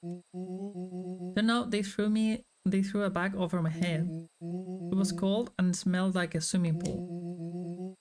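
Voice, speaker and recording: Woman as a slow-talking, goofy big guy. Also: fairly low pitch, little pitch movement, darkish tone. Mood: casual boasting. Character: oversized, goofy, easygoing friend turned older man. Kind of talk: reading game dialogue aloud in character.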